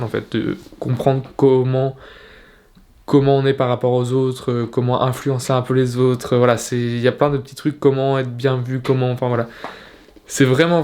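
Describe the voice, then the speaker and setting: A man's voice: mid-range voice, darkish tone, slight background noise. Young male fitness vlogger, casual bedroom talk to camera, hesitant delivery with restarts. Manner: hesitant, many fillers and restarts. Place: at home in his bedroom.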